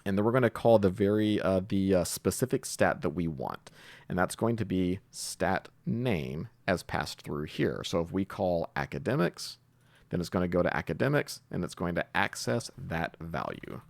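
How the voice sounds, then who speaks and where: A man giving a casual screencast coding tutorial, talking haltingly as he thinks aloud while typing. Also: deep voice, lively intonation, gravelly, darkish tone, no echo. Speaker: programming tutorial host.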